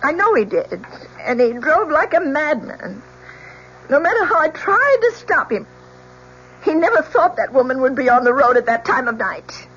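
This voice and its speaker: Anguished young woman, high voice, old radio. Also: very animated, fairly bright tone. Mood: distraught, insistent. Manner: halting, earnest, emotional. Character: anguished, truthful young woman, a professor's daughter. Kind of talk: old-time radio drama acting. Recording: muffled, noisy old radio drama recording.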